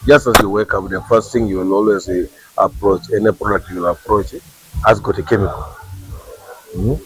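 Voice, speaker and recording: A man with a Ugandan English accent and a very deep voice speaks as an official raising awareness. He explains point by point, repeating words and checking in with a quick "eh?". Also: fairly even intonation, noisy background. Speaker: government official. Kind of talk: awareness talk to workers.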